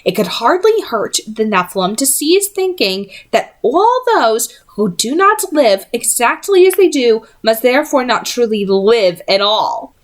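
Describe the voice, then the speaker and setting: A woman reader puts on a highborn vampire woman from a novel. She is haughty and scornful, with formal, imperious phrasing and a cool sense of her own rank. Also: sing-song, unhurried, hardly any pauses.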